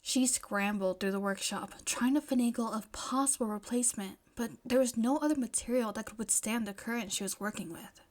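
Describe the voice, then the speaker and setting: Female storyteller, fairly high voice, scripted fiction narration. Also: fairly quick, few pauses.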